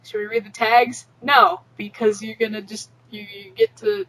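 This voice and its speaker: A woman's voice: medium pitch, level tone, moderate pace, a bit bright, rough recording. A woman hosting a podcast, chatting informally and reading fan fiction aloud in an amateur, sweary, offhand way. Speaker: podcast host.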